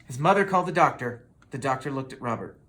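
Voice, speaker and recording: A storybook reader tells a children's tale aloud. The pitch moves around in a lively way, and the delivery is expressive and dramatic. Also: quick pace. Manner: expressive, with dramatic sound words.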